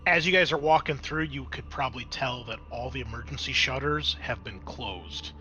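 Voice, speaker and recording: A man's voice: medium pitch, moderate pace. A man acting as game master, explaining a tabletop roleplaying scene in a casual, conversational way.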